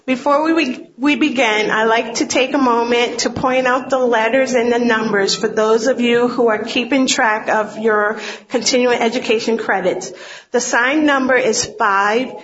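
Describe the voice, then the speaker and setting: A woman moderator reading announcements and introducing speakers at a conference, halting and tripping over titles. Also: on the high side, steady tone, fairly bright tone. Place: conference room. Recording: dull lo-fi lecture recording.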